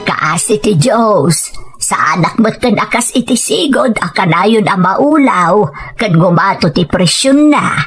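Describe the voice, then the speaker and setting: Radio drama casting: actress as ailing elderly mother, gentle and tired, dragging her words over faint music. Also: medium pitch, animated, unhurried, slightly rough. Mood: weary, gentle. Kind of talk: Ilocano radio drama acting.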